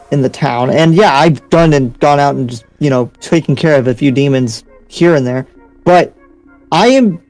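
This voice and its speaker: Man playing a mellow-toned, distrustful demon slayer. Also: a little high, natural voice. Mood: wary. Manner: conversational, insistent. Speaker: roleplayer. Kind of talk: improvised anime Minecraft roleplay. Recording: background music under the voice.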